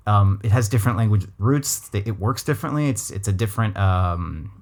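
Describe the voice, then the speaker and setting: Give me a laid-back West Coast American man hosting a chat podcast, low voice, musing and hedging. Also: animated, fairly quick. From Los Angeles, USA. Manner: thoughtful, full of restarts and hedges. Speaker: podcast host. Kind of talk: casual conversational interview.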